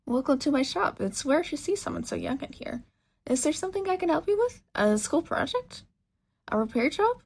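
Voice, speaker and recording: A woman voicing a cheerful, excitable female shopkeeper and tinkerer who gushes eagerly in exclamations. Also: high-pitched, normal speed, vocal fry, voice pushed up, full character voice.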